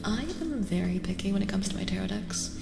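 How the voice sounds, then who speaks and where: A soft-spoken woman, often near a whisper, hosting a live ASMR tarot reading and chatting with viewers in an unhurried way. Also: medium pitch, vocal fry. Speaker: streamer host and tarot reader. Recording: reverberant echo with music behind. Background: music.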